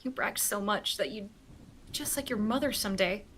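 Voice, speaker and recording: A woman's voice: medium pitch, normal speed, fairly bright tone, a little hiss or hum, close to an everyday voice. A woman voicing a grieving, hot-headed young fixer in an amateur read-along of a game script. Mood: angry, tearful.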